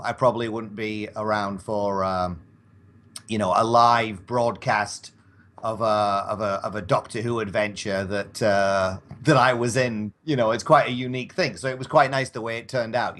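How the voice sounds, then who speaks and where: A man's voice: lowish voice, steady tone, normal speed, fairly bright tone. A man from Scotland, chatting casually as a podcast guest in a bantering, rambling way. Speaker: podcast guest, audio drama actor. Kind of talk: casual chat.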